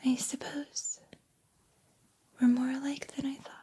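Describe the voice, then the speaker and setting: A woman voicing a gentle, encouraging combat training robot in a soft, breathy, measured ASMR roleplay whisper. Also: high-pitched, little pitch movement. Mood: quiet, curious.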